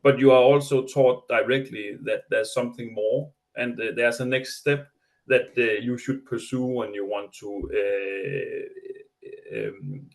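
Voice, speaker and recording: A man speaks on an online panel in a gravelly voice with plenty of vocal fry, at an ordinary middle pitch. He thinks aloud, stumbling over repeated words before he lands his point. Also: takes it slowly, rough recording. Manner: hesitant, restarts while thinking aloud. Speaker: panelist, engineering educator.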